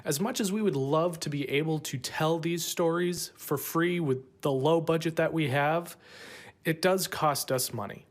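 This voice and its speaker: A man with a slightly high voice speaks as a podcast creator. He delivers a prepared appeal straight to his listeners.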